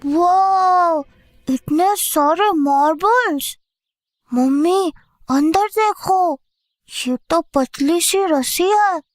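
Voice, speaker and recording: A performer playing an excited little boy in a Hindi horror audio drama, eager and insistent. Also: extremely high pitch, drawn-out words, no echo, heavily acted. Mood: thrilled, curious. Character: excited, pleading little boy on a treasure hunt.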